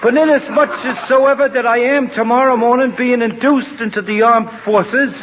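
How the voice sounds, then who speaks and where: A man's voice: extremely high pitch, fairly even intonation, moderate pace. An actor playing a cocky young delivery man who mixes pompous, flowery speech with slang, in 1940s radio comedy style. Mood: haughty, defiant. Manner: pompous mock-formal speech mixed with slang. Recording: dull, noisy 1940s radio recording.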